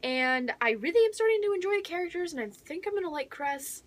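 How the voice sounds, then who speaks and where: A young woman chatting casually as a book vlogger, excitable and gushing, with dramatic emphasis and whispery asides. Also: extremely high pitch, fast talker.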